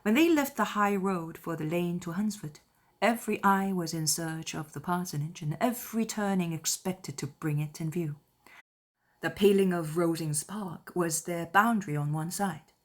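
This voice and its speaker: A woman reading scripted literary narration in a measured, formal storytelling manner. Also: lowish voice. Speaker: audiobook narrator.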